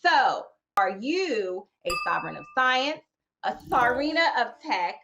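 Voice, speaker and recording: A woman hosting an interview show, playful and fond of wordplay, asking lively questions. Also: on the high side, measured pace, lo-fi sound, noisy background. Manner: bubbly, wordplay-loving, animated. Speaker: host.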